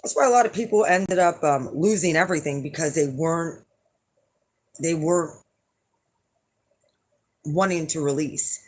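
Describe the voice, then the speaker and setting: A hoarse middle-aged woman chatting casually to her viewers as an astrology reader, rambling and blunt with lots of fillers. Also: deep voice, moderate pace, long pauses. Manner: rambling and blunt, with frequent fillers and some swearing. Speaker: astrology reader and channel host.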